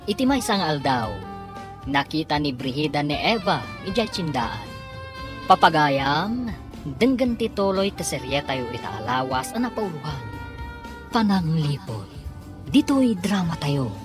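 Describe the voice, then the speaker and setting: A woman's voice: low voice, very animated, unhurried, pauses often. A woman with an Ilocano Filipino accent reading scripted, dramatic narration to introduce a radio serial. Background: music.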